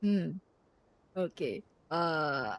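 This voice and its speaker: A woman streaming a game live, chatting casually and giggly as she thinks out loud and reads bits of text. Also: low-pitched, sing-song, very slow.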